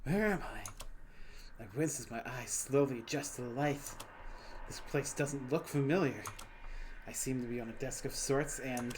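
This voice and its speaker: A woman acting a low-voiced, anxious kidnapped student. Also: measured pace, noisy background. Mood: dazed, pained. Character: dazed, anxious kidnapped student narrating their thoughts. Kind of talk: first-person visual novel voice acting.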